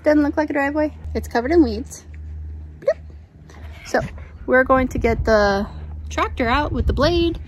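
A woman's voice: sits high, normal speed, long pauses, a little hiss or hum. A woman in her thirties chatting playfully and jokily outdoors on a homestead, filmed on a phone at arm's length. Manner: playful, jokey, makes silly sound effects. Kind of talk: casual vlog chat.

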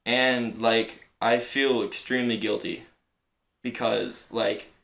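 A man's voice: medium pitch, little pitch movement, slow pace, gravelly, a bit bright. A young man, talking casually and slangily, as on a phone call to a friend. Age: teenager or young adult. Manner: slangy, peppered with "like". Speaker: prank caller in a challenge video. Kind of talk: casual chat and prank phone calls. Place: indoors in a living room on a couch. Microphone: camera a short distance away.

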